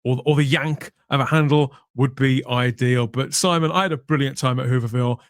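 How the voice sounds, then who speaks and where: A man's voice: mid-range voice, fairly even intonation, a little fast, dry recording, clean studio sound. A man hosting a podcast in a casual, chatty way with wry, jokey asides. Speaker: podcast host.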